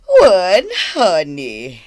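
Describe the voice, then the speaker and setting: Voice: mid-range voice, huge pitch swings, very slow, dry recording, heavily acted, rough recording. An actor playing a gleeful, showy sweetheart in a comic radio drama, drawling and gushing. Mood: gleeful, excited. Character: gleeful, showy sweetheart dreaming of going abroad.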